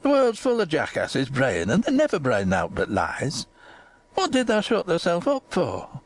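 A crabbed old Yorkshire gardener from a storybook, acted out by a woman. He talks in dry, testy dialect with a tender streak underneath. His pitch lurches dramatically up and down. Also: wildly swooping pitch, measured pace, no room sound, exaggerated voice. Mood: gruff, scornful.

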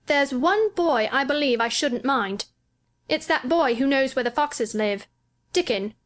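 A high young voice for a storybook invalid boy, acted out by a woman. He is petulant and solemn, weighing his words earnestly and wrapped up in himself. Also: lively intonation, measured pace. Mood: thoughtful. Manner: thoughtful, earnest, self-absorbed. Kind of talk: audiobook character dialogue.

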